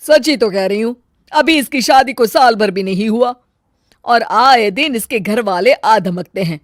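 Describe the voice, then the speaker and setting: Woman as scheming older mother-in-law for a cartoon story, loud, snide asides. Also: a little high, measured pace, dry recording, clean studio sound, close to an everyday voice. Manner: muttering sarcastic asides, loud and scolding. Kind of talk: animated story voice acting.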